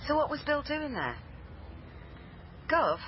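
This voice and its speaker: A persistent female police liaison officer in a radio crime drama. She questions in a dry, sceptical way with highly animated intonation. The sound is slightly muffled, with clear noise around her. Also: takes it slowly, exaggerated voice. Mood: probing, insistent. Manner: probing, dry, insistent. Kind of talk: naturalistic British radio drama acting.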